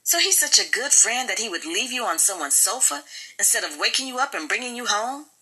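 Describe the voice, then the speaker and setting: A woman's voice: a little high, very animated, a little fast, sharp tone, husky, voice pushed up, full character voice, poor audio. A woman voicing an angry, accusing wife in an audiobook, with biting, sarcastic, colloquial delivery. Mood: bitter, mocking. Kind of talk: audiobook character dialogue.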